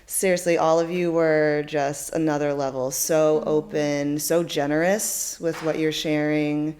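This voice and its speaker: A woman closes out a community gathering as its host, speaking in a slightly low voice. She is effusive and full of thanks, with frequent fillers as she talks to a live audience indoors. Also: little pitch movement, measured pace, a little mellow. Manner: effusive, grateful, many fillers. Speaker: event host. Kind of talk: closing remarks at a community event. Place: indoor event space with audience.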